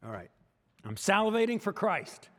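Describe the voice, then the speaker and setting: A man's voice: sits high, very animated, normal speed, fairly bright tone, big-room sound. A man with an American accent preaching a sermon as pastor, talking conversationally and joking with the congregation. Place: church sanctuary.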